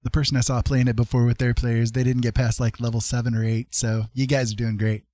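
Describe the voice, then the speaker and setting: A man's voice: medium pitch, flat delivery, fast talker, dark tone. A man hosting a casual livestream, commenting on a game in an encouraging, jokey manner. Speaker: livestream host.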